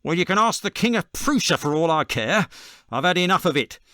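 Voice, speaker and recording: A furious stallholder, acted by a male narrator in an audiobook, bullying and fierce. He shouts in a raised, animated voice with very little room sound. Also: on the high side, brisk, no room sound, clean studio sound, voice pushed up.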